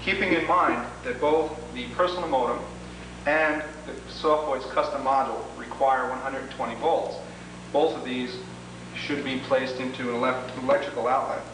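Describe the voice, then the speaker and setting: A man's voice: medium pitch, steady tone, moderate pace. A man presenting an instructional training tape as a product demonstrator, methodically going through each step in formal wording. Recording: echoey, noisy, distant 1980s homemade video.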